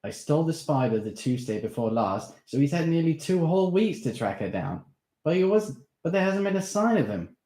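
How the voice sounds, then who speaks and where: A man's voice: fairly high pitch, very animated, fairly quick, mellow, pitched above the performer's own voice. A man voicing a bold, defiant young schoolboy who talks in an earnest, self-assured way. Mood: calm. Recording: roomy echo.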